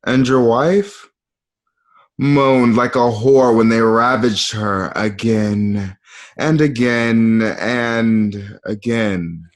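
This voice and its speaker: A cruel emperor of ancient Rome, acted by a man for a radio play. He speaks slowly in a middle-pitched voice and taunts his rival with deliberate menace. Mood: cruel taunting. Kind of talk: amateur radio drama acting.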